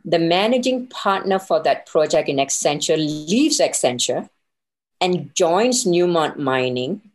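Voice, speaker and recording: Female interview guest, middle-aged, low register, conversational storyteller who quotes her own inner thoughts. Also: expressive, unhurried. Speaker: interview guest, consultant and business owner.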